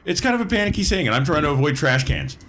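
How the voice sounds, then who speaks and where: A man playing a tabletop game, chatting casually and in character, excitable and given to repeating phrases. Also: on the high side, fast talker, a little hiss or hum.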